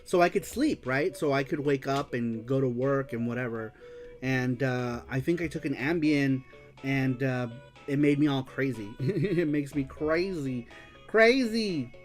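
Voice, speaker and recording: A man from the United States hosting a live-streamed show, chatting casually as he reads viewer comments. He is animated, laughs often, swears freely and slips into Spanish. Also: mid-range voice, unhurried, faint music behind. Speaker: host of a live-streamed retro gaming show.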